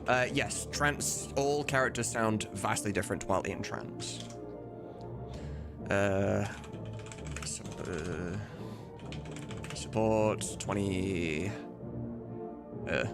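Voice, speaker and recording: Man, fairly low voice, rambling livestream host, sweary, thinking aloud, music behind. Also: slow, gravelly, long pauses. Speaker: game-mod developer and livestream host. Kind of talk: casual livestream chat while demonstrating.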